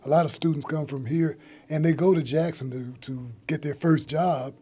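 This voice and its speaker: A man with a very husky, mellow voice pitched a little high. He is a local broadcaster answering interview questions off the cuff. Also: fairly even intonation, normal speed.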